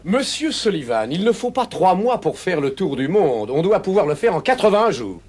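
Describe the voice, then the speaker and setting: High, lively, confident gentleman. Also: normal speed, breathy edge, exaggerated voice. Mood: assured. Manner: courteous, assured, enunciating. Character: composed, confident, precise English gentleman, youngish. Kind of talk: dubbed cartoon acting. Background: music.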